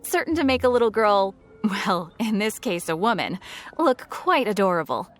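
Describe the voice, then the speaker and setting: A woman voicing a chatty, friendly female shopkeeper giving upbeat sales pitches in video game dialogue. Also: big pitch swings, moderate pace, close to an everyday voice. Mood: enthusiastic. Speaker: voice actor. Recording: game audio with faint music.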